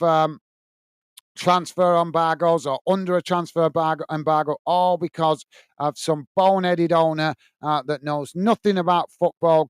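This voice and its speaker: A man with a Lancashire accent hosts a football live stream, talking straight and with passion. His voice sits fairly high and comes through smooth and clean. The sound is dry and close, with no room echo. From England. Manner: passionate, blunt, addresses viewers by name. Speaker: football channel host reading live chat. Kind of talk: casual live-stream chat. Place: small home studio.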